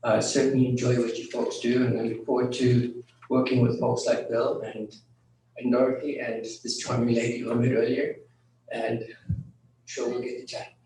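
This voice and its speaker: A middle-aged man speaks in a level, monotone way, his voice bouncing around a very reverberant room. He is picked up from well back, with a faint hum of the room behind him. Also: medium pitch, moderate pace. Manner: even, matter-of-fact, some restarts. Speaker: board candidate, business owner. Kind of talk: short candidate speech at a meeting. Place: community centre meeting room. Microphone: handheld mic at a distance. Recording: very echoey room, far from recorder.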